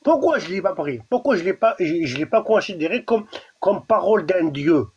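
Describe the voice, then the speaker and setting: A man's voice: sits high, animated, normal speed, husky, lo-fi sound. A man from Algeria with a Kabyle accent, whose first language is Kabyle, arguing insistently in a live debate and often restarting his words. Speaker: debate guest.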